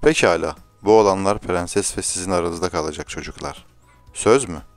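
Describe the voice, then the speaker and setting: A man's voice: low voice, moderate pace, no room sound, faint music behind. A man reading a scripted fairy tale to children as a storyteller.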